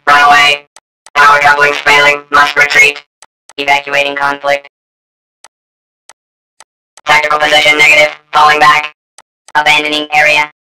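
A man's voice: mid-range voice, creaky, bright, forceful tone, poor audio. A man voicing a skittish robotic battle droid soldier in a video game, with a synthetic filtered timbre and clipped, stilted military phrasing. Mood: alarmed, panicky. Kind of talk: video game character voice lines.

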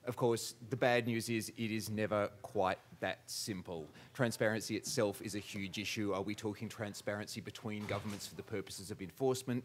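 A man's voice: fairly low pitch, slight background noise. A man moderating a conference panel in a large hall, with dry, wry humor.

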